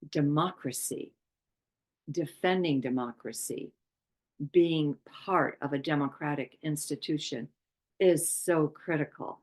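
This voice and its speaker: A woman from Poland giving an unscripted talk on chakras, speaking haltingly with many pauses and fillers. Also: low-pitched, a little mellow. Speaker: chakra workshop presenter.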